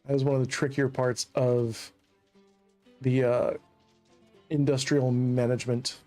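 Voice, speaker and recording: A man's voice: mid-range voice, little pitch movement, measured pace, a little mellow, soft background music. Chatty man streaming a game. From Central Virginia, USA. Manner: rambling, chatty, laughs at his own jokes. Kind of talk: casual gameplay commentary.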